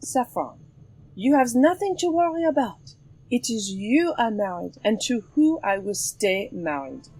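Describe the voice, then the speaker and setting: A woman's voice: on the high side, very animated, a little slow, mellow, husky, full character voice, poor audio. An actress playing a bold, teasing swordswoman in an audio drama, with theatrical delivery. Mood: playful, affectionate. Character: bold, teasing, sword-wielding French swashbuckling heroine.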